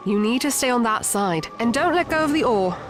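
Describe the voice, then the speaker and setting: A woman's voice: on the high side, lively intonation, fairly quick, music in the background, lightly acted. A woman acting a resolute young fisherwoman in an audio drama, with an emphatic, practical delivery. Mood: steadying. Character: Rabia, resolute young fisherwoman of ancient Egypt.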